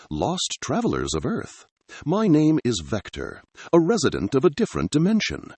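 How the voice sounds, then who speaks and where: A calm, gentlemanly android condor from a science fiction audiobook, acted by a man, speaks with formal courtesy and a measured manner. The voice is gravelly and husky, with pitch that moves widely. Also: no echo, exaggerated voice. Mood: calm, polite.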